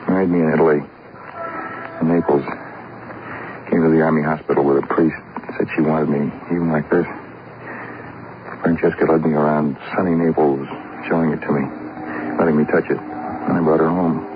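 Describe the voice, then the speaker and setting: A blind army veteran in a 1950s radio drama, bitter with grief. His very deep voice comes out in terse, broken phrases. The old recording is thin, tinny and plainly noisy. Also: flat delivery, normal speed, pauses often. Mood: grieving.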